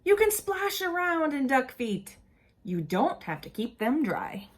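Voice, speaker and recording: A woman reads a picture book to young children in a fairly high voice. Her delivery is slow and deliberate, with big swoops in pitch. She slips in casual asides and questions for the listeners. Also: sing-song. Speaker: storyteller reading a children's picture book aloud.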